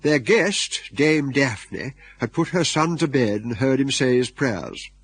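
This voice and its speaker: A man's voice: mid-range voice, animated, slow pace, husky. A man narrating a radio comedy drama in scripted storytelling style.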